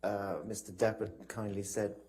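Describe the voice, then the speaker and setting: Male witness, low-pitched and mellow, polite and hesitant, flat intonation, for courtroom testimony. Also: moderate pace, husky. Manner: polite, hesitant, broken phrasing. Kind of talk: courtroom testimony, recounting from memory.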